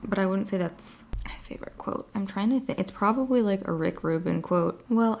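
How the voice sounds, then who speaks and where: Gravelly, worn-voiced woman rambling casually. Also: fairly low pitch, a little mellow. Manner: rambling, filler-heavy talk with frequent "like". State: voice worn and slightly raspy from long use. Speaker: podcast host. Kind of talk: casual solo chat. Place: at home in her new apartment, late at night.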